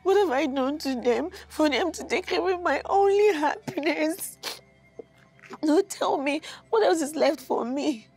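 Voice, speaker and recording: A woman acting as a young woman shattered by betrayal, her tearful, pleading voice sitting very high. Music plays behind her. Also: lively intonation, a little slow, darkish tone. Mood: sobbing distraught. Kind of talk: film drama acting.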